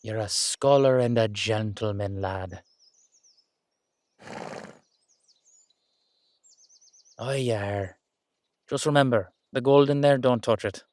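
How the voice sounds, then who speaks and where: A man playing a gruff but fond Irish dullahan in a roleplay audio drama, speaking in a colloquial, hesitant, sweary way. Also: fairly low pitch, moderate pace, darkish tone, dry recording, lightly acted. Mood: friendly, cautioning.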